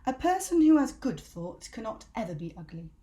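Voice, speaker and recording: A woman's voice: a little high, very animated, moderate pace, mellow. A woman reading a children's story aloud as a storyteller, with dramatic, emphatic delivery.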